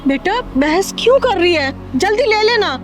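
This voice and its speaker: A woman's voice: very high voice, expressive, moderate pace, soft background music. A middle-aged woman playing a worried mother who reproves and pleads in a short moral drama. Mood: reproving.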